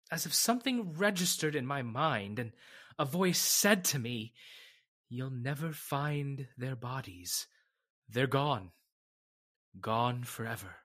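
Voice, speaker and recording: Guy acting as a earnest spooked man in old radio play, highish bright voice, halting and dramatic. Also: heavily acted. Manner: halting, dramatic, with stammered repetitions. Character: shaken, earnest man recounting an uncanny fire, Mister Lamont.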